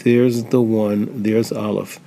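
A man's voice: on the low side, flat delivery, takes it slowly, vocal fry, warm tone, low-quality recording. A man hosting an interview, steering it with short acknowledgements and clarifying questions. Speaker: radio host.

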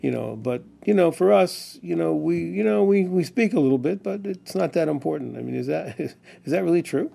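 Man with a dark, gravelly voice. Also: a little high, a little fast, few pauses. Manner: relaxed, chatty questioning. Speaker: interview host. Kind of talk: conversational interview.

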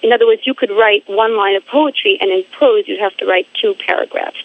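A woman speaking as a guest in a phone interview, halting and repeating words while she searches for her phrasing. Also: medium pitch. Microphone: phone line. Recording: tinny phone line.

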